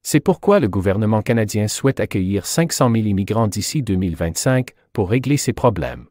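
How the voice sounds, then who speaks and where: A man playing a measured expert journalist who gives articulate, explanatory answers in a scripted interview. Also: fairly low pitch, animated, a little mellow, no echo, studio quality, close to an everyday voice.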